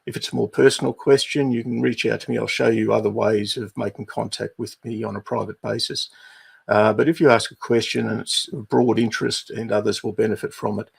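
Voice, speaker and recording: A middle-aged Australian man with an Australian accent, hosting a livestream and walking viewers through housekeeping in an unhurried way with many fillers. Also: lowish voice, little pitch movement. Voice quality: gravelly, husky. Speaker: livestream host, business advisor.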